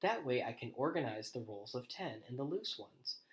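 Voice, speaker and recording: A man's voice: medium pitch, lively intonation, normal speed, lightly acted. A man voicing an earnest, tidy young boy in a children's storybook, pleased with his organizing. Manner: earnest, satisfied.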